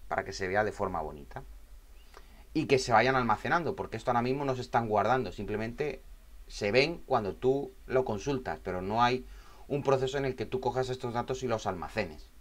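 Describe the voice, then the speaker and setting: A man instructing in an informal, explanatory tutorial style, often checking in with short tag questions. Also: mid-range voice. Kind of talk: informal spoken screen tutorial.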